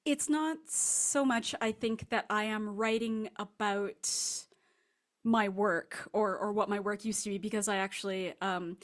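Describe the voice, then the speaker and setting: Conversational woman author, mid-pitched voice. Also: fairly even intonation, measured pace. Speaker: author guest being interviewed. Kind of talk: casual, reflective interview answers.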